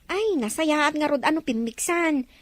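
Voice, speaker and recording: An actress playing a chatty, gossipy friend who teases and gives advice. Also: female voice, sits high, lively intonation, a little slow, no room sound. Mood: teasing. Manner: teasing, gossipy. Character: chatty, nosy middle-aged woman friend.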